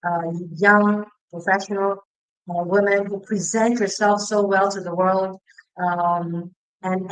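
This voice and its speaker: A woman's voice: mid-range voice, rough recording. A woman from Singapore, hosting a casual talk show and asking her guest rambling, restarting questions. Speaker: podcast host. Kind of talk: casual conversation.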